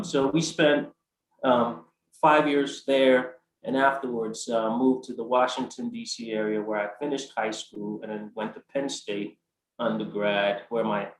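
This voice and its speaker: Ghana-born guy in his 40s, talks w lots of ums and restarts, echoey room. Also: on the low side. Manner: halting, many fillers and restarts. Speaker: art collector guest. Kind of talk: conversational interview answer.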